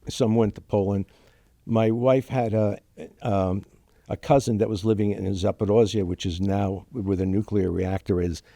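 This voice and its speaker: An older man, born in Germany to Ukrainian parents with Ukrainian as his first language, telling his family story in a halting, emotional way with many fillers and restarts. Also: lowish voice, warm tone, no room sound, clean studio sound. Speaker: guest. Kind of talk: interview, personal story.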